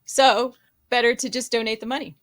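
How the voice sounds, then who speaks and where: A woman, a co-author on a podcast, speaking in a measured way with frequent fillers. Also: fairly high pitch, fairly even intonation, a bit bright. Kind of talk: casual podcast chat.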